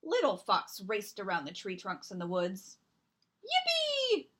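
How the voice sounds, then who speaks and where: A woman reading a children's picture book aloud as storyteller, with expressive, deliberate narration and dramatic emphasis. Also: mid-range voice.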